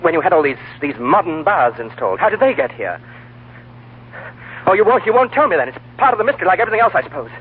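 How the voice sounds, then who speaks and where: An actor playing a hot-headed, impatient young traveller, stammering and demanding, in 1940s radio drama style. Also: male voice, sits high, very animated, quick pace. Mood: agitated, exasperated. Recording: tinny old radio, humming noise.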